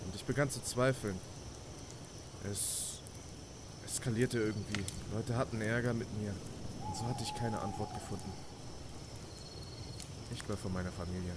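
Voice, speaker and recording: A man's voice: lowish voice, fairly even intonation, moderate pace, long pauses, heavy background noise, lightly acted. A man voicing a troubled, devout young man in a video game fan dub, hesitant and reflective. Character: troubled, searching devout young man, soft-hearted and doubting. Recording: night crickets and outdoor ambience behind.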